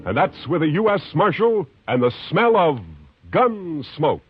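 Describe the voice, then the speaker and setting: A man announcing an old radio drama in a dramatic, declamatory way. His pitch is lively and slightly high. Music plays under a dull, dated broadcast sound. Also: unhurried, husky, no echo. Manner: resonant, declamatory.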